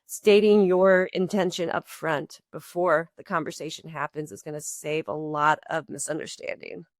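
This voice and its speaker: A woman's voice: low voice, fairly even intonation, moderate pace, no room sound, clean studio sound. A woman guest on a podcast, explaining ideas in long, building sentences with everyday examples. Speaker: podcast guest, company co-founder. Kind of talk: conversational explaining.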